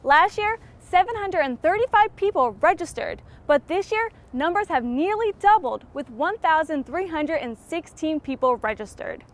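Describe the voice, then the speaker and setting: Young woman, student news anchor, high-pitched, crisp even delivery. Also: normal speed, few pauses. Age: young adult. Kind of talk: scripted news reading.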